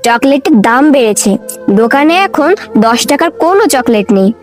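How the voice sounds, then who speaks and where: A grasping village shopkeeper woman in a cartoon, acted by a woman, with a high voice. She is curt and dismissive, with faint music behind her. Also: expressive, normal speed. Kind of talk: Bengali children's cartoon voice acting.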